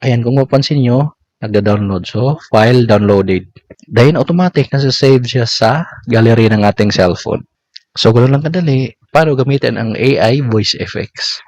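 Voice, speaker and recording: A man's voice: medium pitch, normal speed, darkish tone, no room sound. A man with a Filipino accent narrating a step-by-step tutorial as a content creator. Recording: dull lo-fi audio.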